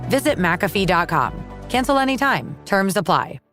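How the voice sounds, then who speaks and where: A woman's voice: medium pitch, sing-song, normal speed, over music. A woman reading a scripted commercial as a voice-over.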